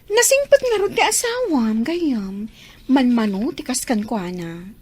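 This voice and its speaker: An actress playing a sociable, sympathetic neighbour in an Ilocano radio drama, chatty and lilting. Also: female voice, high-pitched, big pitch swings, measured pace, husky, full character voice. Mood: admiring, chatty.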